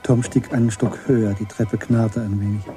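A man's voice: fairly low pitch, fairly even intonation, normal speed. Male radio-play narrator over music. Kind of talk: scripted radio drama narration.

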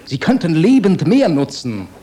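An actor playing an earnest, idealistic officer in a German radio drama, solemn and intense. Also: male voice, a little high, wildly swooping pitch, normal speed, mellow. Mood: pleading, intense. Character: earnest, idealistic officer, urgent man of conscience.

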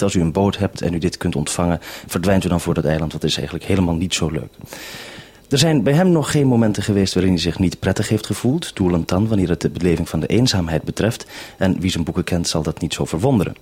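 Male radio presenter, low-pitched and husky, introducing and interviewing. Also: fast talker, a little mellow. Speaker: radio host.

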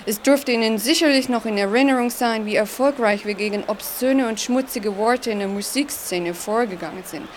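A woman's voice: a little high, moderate pace, hardly any pauses, slight background noise, natural voice. An actress playing a prudish, sanctimonious society lady in a satirical radio play, pompous and preachy, mixing English words in. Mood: self-righteous, earnest.

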